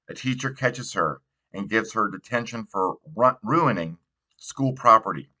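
Male audiobook narrator, slightly low pitch, bright forward tone, reading teen fiction. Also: animated, a little slow, low-quality recording. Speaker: audiobook reader. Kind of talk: read narration of a young adult novel.

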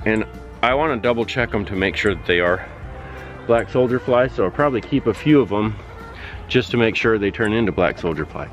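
A man's voice: fairly low pitch, animated, brisk, dull audio, soft background music. Middle-aged man vlogging casually outdoors. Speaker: homestead vlogger. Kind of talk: casual vlog chat to camera. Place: outdoors, yard and busy farm meet. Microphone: handheld or nearby camera.

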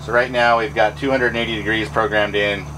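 A man giving a relaxed, practical walkthrough of his boat's helm electronics, talking casually with fillers. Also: lowish voice, a little fast, background noise. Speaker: boat owner giving a tour. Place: pilot house of a trawler yacht underway.

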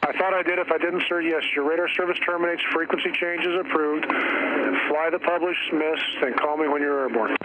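A man with a slightly high voice gives air traffic instructions quickly. Loud static runs through the radio transmission. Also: steady tone.

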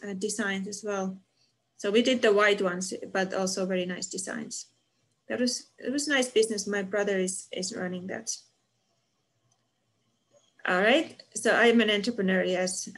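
A middle-aged woman from Finland, a university professor, answering questions from the chat on an online lecture call, with many fillers. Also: medium pitch. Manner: reads chat comments aloud and answers them, with fillers.

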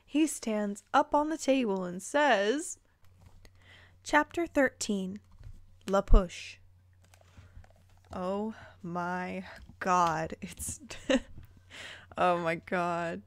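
A woman's voice: mid-range voice, drawn-out words, pauses often, no echo. A woman reading fan fiction aloud, dropping casual, incredulous, playful asides as she goes.

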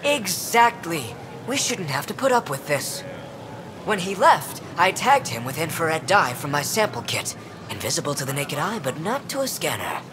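Haughty scientist with animated delivery. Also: female voice, low-pitched, normal speed, over music. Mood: haughty, impatient. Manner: curt, self-important, sarcastic. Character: haughty, irritable woman scientist in space colony. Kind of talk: video game dialogue acting.

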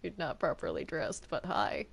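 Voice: medium pitch, big pitch swings, normal speed, creaky. A player chatting casually through an improvised Minecraft roleplay, hesitant and often repeating words over a gaming microphone. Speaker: player in a group game roleplay. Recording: noisy home game recording with clicks.

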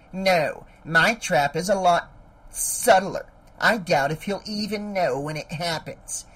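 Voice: mid-range voice, a bit bright, slightly rough, poor audio. A narrator voicing a spiteful, scheming woman villain in an audiobook, with an imperious, theatrical manner.

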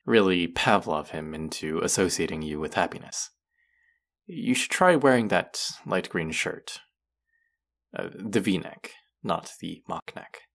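A man's voice: low voice, lively intonation, unhurried, vocal fry, fairly bright tone, full character voice. A man playing a condescending, sardonic young college student, the rude twin in a scripted audio roleplay. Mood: condescending, instructing. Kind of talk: scripted roleplay addressed to the listener.

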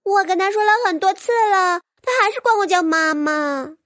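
A woman voicing a lively, easily exasperated young female sprite with pouty, girlish delivery in an audiobook. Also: very high-pitched, normal speed, no echo, clean studio sound, voice pushed up. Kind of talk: audiobook character voice.

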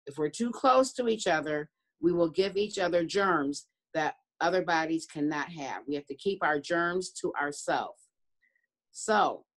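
A woman in her sixties, a preschool educator, explaining things simply to young children in a deliberate, emphatic, repetitive way. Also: fairly low pitch. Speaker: early learning center educator. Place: room at home, indoors.

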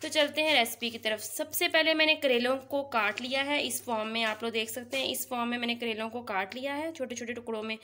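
A woman with a fairly high voice guides viewers through a recipe one step at a time, talking straight to them. She speaks from her home kitchen by the stove, with the faint sizzle of frying behind her. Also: steady tone, quick pace, hardly any pauses, pushed and bright, slight background noise. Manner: instructive, addresses viewers directly. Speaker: cooking channel host.

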